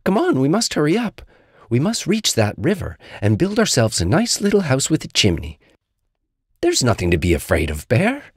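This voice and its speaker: An eager, fretful little tiger from a children's storybook, acted by a male reader. The voice exclaims and wheedles, lifting well above a grown man's register and swooping widely, with a husky grain. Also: a little high, wildly swooping pitch, normal speed, dry recording, clean studio sound, voice pushed up, full character voice. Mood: excited.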